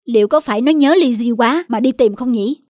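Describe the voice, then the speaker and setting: A woman's voice: a little high, brisk, heavy background noise, natural voice. A woman voicing a young boy who owns dogs in a cartoon, plain and youthful in manner. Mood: worried. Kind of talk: dubbed cartoon acting.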